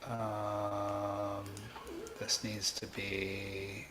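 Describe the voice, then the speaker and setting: A man hosting a live coding tutorial, thinking aloud in a halting way and repeating phrases as he reasons. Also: on the low side, monotone, a crowd in the background. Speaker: programming tutor and livestream host.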